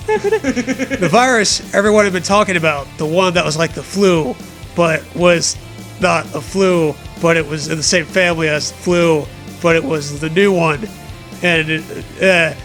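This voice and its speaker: A man's voice: high-pitched, takes it slowly, fairly bright tone, faint music behind. A man co-hosting a comedy show, mockingly reading fan fiction aloud with sarcastic, profane banter.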